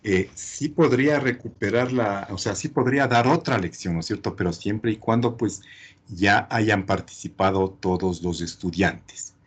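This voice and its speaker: Man, teacher, slightly low husky voice, slow pace, didactic check questions. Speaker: chemistry teacher.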